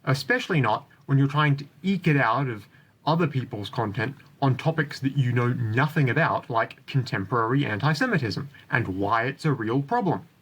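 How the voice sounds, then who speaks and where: Male video commentator, medium pitch, critical and articulate scripted delivery. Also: expressive, moderate pace, a bit bright, husky. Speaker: video creator.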